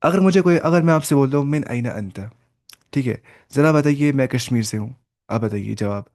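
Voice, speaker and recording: A man's voice: medium pitch, lively intonation, darkish tone, no echo, clean studio sound. Patient man teaching a class on a call. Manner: slow, careful sounding-out of example phrases; patient, repetitive explaining. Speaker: Arabic teacher. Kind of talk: online class lecture. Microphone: video call.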